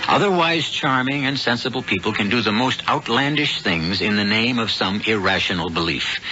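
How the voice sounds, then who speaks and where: An actor as the ominous, theatrical host narrating an American radio mystery drama. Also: male voice, lowish voice, bright, forceful tone. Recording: dull vintage radio recording, music.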